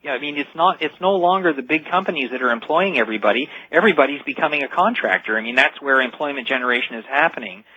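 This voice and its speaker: A man's voice: medium pitch, expressive, fast talker, hardly any pauses, pushed and bright, breathy edge, no echo. A man speaking as an expert guest in a radio interview, explaining earnestly and emphatically, repeating key phrases. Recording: dull, dry lo-fi radio audio.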